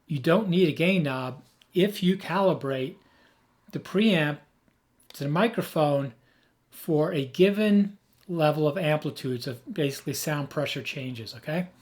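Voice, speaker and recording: A man who has lost his voice, hoarse, giving an emphatic, rambling off-the-cuff tech explanation as a video host. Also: fairly high pitch, measured pace, darkish tone. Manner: emphatic, rambling, with frequent restarts.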